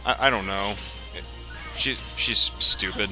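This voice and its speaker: A man with a fairly low voice gives casual commentary on a video game. He rambles and explains as he goes, halting and picking up again, with clear game music playing behind him. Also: sharp tone. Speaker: let's play commentator.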